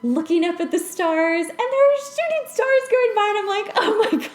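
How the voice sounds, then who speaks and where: A woman hosting a casual, chatty podcast, telling stories in an animated way with giggly asides. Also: very high-pitched, normal speed. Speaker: podcast host. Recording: roomy, echoing podcast recording.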